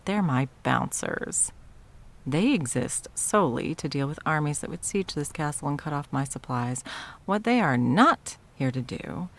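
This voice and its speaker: A woman's voice: low voice, animated, unhurried. A woman playing a haughty, sadistic sorceress tyrant in a fantasy audio roleplay, with a theatrical, mocking and condescending delivery. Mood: haughty, taunting. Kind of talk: scripted ASMR fantasy roleplay.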